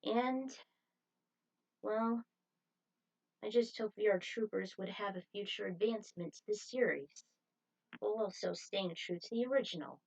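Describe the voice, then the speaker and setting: A woman's voice: mid-range voice, normal speed, vocal fry, long pauses, darkish tone, dull audio. A woman reading her own fan fiction story aloud as its author and narrator.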